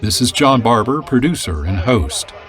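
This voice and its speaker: A man's voice: medium pitch, big pitch swings, moderate pace. An American man reading a scripted closing announcement as the host and producer of a radio program. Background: music.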